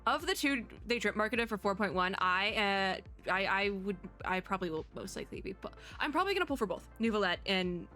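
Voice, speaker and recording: A woman giving casual, excited reaction commentary as a streamer, rambling, gushing and often cutting herself off. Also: mid-range voice, fairly quick, few pauses. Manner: rambling, gushing, self-interrupting. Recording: music playing behind the voice. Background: game trailer music.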